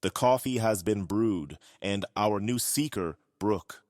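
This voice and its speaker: A man's voice: fairly low pitch, takes it slowly, darkish tone, no echo, clean studio sound. A man playing an earnest, solemn officiant chanting a sacred rite in an audio drama, over music. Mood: ceremonial. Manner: formal, incantatory.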